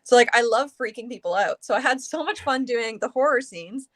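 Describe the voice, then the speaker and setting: A woman's voice: fairly high pitch, expressive, brisk. A woman in her early twenties, a singer from Canada, talking casually as an interview guest, chatty with many fillers. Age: 20s.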